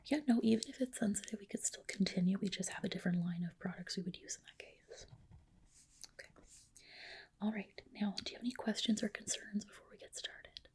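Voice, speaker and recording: A woman performs an ASMR roleplay in a very breathy whisper with a crackle of vocal fry. She plays a clinic doctor, speaking softly and politely. Her manner is gentle and reassuring, like personal attention given up close. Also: mid-range voice, fairly quick. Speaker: ASMR host roleplaying a dermatologist.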